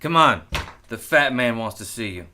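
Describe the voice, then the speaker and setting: An actor playing a surly hired killer in a radio play, curt and menacing. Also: male voice, medium pitch, expressive, moderate pace, pushed and bright, a little hiss or hum. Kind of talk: old-time radio play acting.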